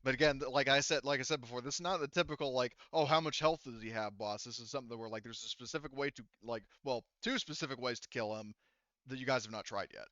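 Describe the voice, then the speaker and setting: A man acting as game master of a tabletop roleplaying game, narrating casually and in an animated storytelling manner. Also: mid-range voice, fast, no room sound, dull audio.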